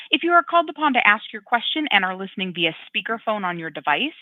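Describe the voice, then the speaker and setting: Female conference operator reading scripted instructions, animated intonation, over a thin telephone connection. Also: medium pitch, no room sound. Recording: dry, narrow phone line.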